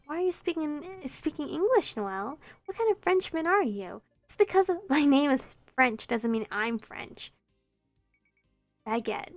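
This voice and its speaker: A woman's voice: high-pitched, big pitch swings, measured pace, no echo, soft background music, pitched above the performer's own voice, heavily acted. A woman voicing a cocky teenage girl prankster who teases with mock sincerity. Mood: teasing. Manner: smug, goofy, mock-sincere.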